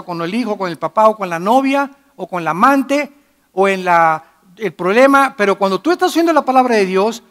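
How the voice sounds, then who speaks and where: A middle-aged man preaching a sermon in a church, emphatic and moving between loud and hushed delivery. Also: high-pitched. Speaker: pastor.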